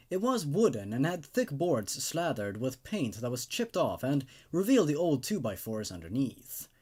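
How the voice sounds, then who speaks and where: A man's voice: mid-range voice, darkish tone. A man hosting a horror story show, moving between casual commentary and reading stories aloud. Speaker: host and reader of an online horror story show.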